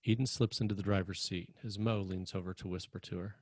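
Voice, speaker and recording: A man's voice: low-pitched, fairly even intonation, fast, dry recording, studio quality. A man reading screenplay stage directions as a narrator at a table read.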